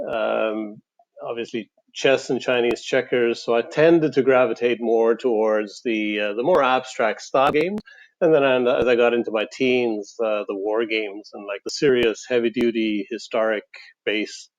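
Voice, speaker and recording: A man from Sweden chats casually as an interview guest. His voice is mid-pitched, and the recording is a little dull and lo-fi. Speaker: podcast guest, game publisher. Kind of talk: casual chat.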